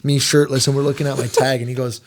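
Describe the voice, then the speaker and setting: A man's voice: mid-range voice, fast, slight background noise. A man in his thirties, a native Serbian speaker, chatting casually as a podcast guest and acting out the people in his stories. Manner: animated storyteller, acts out quotes. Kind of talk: casual chat.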